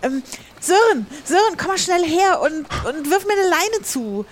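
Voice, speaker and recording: A woman playing a caring, take-charge ship's captain with a brisk, reassuring manner. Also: very high voice, very animated, background noise, heavily acted. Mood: urgent.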